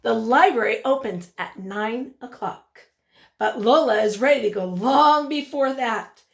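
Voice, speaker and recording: A woman teacher reading a picture book aloud to young children, expressively, pausing to put questions to them. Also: fairly high pitch, lively intonation, gravelly.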